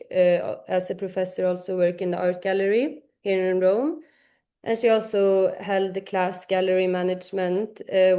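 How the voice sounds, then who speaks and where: A woman student talking about her professors at a university event, with many pauses and fillers. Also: medium pitch, mellow. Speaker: student speaker.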